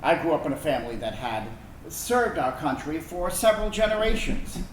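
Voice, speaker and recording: Actor as bitter grown military kid, echoey. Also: male voice, on the high side, very animated, normal speed. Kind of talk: dramatic monologue in a staged reading. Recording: echoey room, faint background noise.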